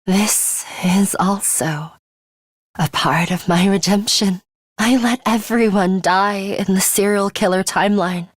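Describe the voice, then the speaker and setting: Imperious young game heroine, slightly low pitch, breathy and hushed, deliberate, proud, fatalistic, secretly caring. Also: female voice, dry recording, clean studio sound. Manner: haughty, deliberate, breathy delivery with hushed drops. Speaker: video game voice actress. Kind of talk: dramatic video game voice acting.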